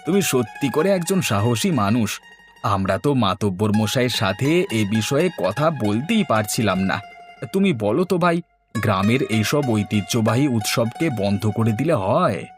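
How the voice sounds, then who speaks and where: A man voicing a warm-hearted, eager village man in a cartoon, with an emphatic, exclamatory delivery. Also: mid-range voice, lively intonation, a little slow, natural voice. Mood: amazed. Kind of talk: Bengali cartoon voice acting. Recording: music playing behind the voice.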